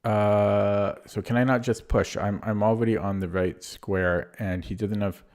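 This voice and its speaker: A man with a low, deep voice sounds hoarse and keeps clearing his throat. He streams chess live and mutters his way through moves. His talk is casual and unhurried. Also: steady tone, darkish tone. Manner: thinks aloud while playing, muttering over moves.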